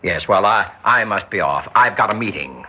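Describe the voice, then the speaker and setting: Actor as a very low-voiced, formal businessman. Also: expressive, normal speed, lightly acted. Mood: awkward. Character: formal, blunt, uneasy business associate. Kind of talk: 1960s radio drama acting. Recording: muffled, hissy 1960s radio recording.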